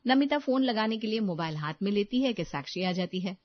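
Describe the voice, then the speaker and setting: A woman's voice: mid-range voice, animated, a little fast, dry recording, highs cut off. A woman narrating a scripted Hindi family story as a storyteller.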